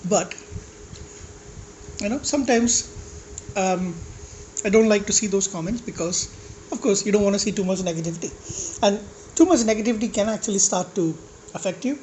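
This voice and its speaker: A man vlogging with a slightly high voice, talking casually and bluntly. He rambles with restarts and asides, and curses when exasperated. A faint hiss sits under his voice. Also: normal speed, long pauses, a little mellow, rough recording. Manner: blunt, exasperated, swearing at critics. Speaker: food vlogger. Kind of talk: casual rant to camera while eating.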